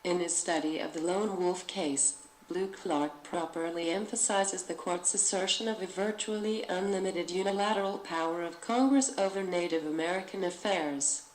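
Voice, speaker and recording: A woman's voice: fairly low pitch, normal speed, hardly any pauses. A woman reading a formal academic passage aloud. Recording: echoey room with faint noise.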